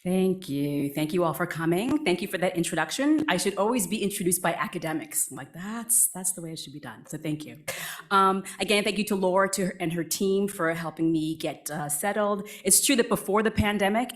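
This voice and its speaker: Chatty woman speaker, lowish voice. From born in Vietnam, raised near Boston. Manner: chatty and self-deprecating, lively pitch swings. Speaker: guest speaker, writer. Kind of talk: casual talk to a live audience.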